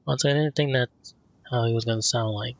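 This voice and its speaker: A man giving casual let's play commentary with low-key, short reactions. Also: steady tone, brisk, dry recording. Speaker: gaming video creator. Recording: dull lo-fi mic, faint game music.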